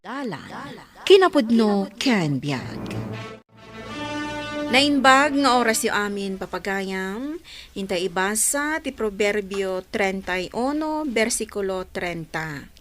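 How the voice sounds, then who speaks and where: A woman's voice: medium pitch, lively intonation, slow, pauses often, music in the background. A woman hosting a radio program, delivering a scripted devotional introduction and scripture reading.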